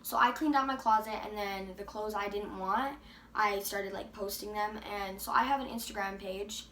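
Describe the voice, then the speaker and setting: A woman's voice: medium pitch, moderate pace, bright, forceful tone, slight background noise. Teen girl co-host with a cold, casual chat, echoey room. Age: teens. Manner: chatty, many filler "likes". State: has a cold.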